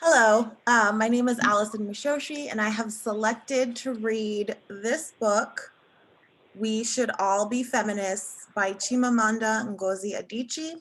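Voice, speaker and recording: A woman with a fairly high voice reads an essay aloud. Her delivery is measured and earnest, as a reader at a literary event. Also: fairly even intonation, a bit bright, poor audio. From Africa. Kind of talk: reading a prose essay aloud.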